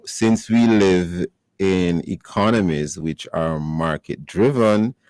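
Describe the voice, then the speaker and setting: A man hosting a radio interview, speaking in a measured, formal and wry way. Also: low voice, darkish tone, no room sound. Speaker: radio host.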